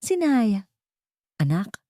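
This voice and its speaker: A woman's voice: medium pitch, sing-song, takes it slowly, mellow, no echo, voice pushed up. Lady narrator as proud rich dad in a drama story, anguished, intense, dry close sound. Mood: trembling tenderness. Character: proud, anguished billionaire father.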